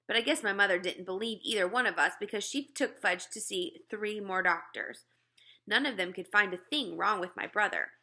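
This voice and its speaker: A woman reads a children's novel aloud. Her voice is bright and forward, at an average pitch, and her narration is expressive.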